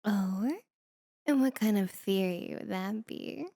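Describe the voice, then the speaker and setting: A woman's voice: mid-range voice, slow pace, gravelly, mellow, no echo, studio quality. A woman voicing a playful, doting, mischievous demon who coos and teases in a flirty ASMR roleplay. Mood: intrigued, teasing. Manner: cooing, teasing, mock-sweet delivery.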